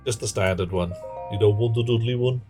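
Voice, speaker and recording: A man's voice: lowish voice, brisk. A man streaming a game live, chatting wryly with viewers in a dry, muttering way. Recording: game stream with background music.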